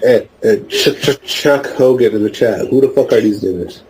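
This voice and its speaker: A man's voice: mid-range voice, normal speed, very noisy. A man bantering casually as a podcast panelist.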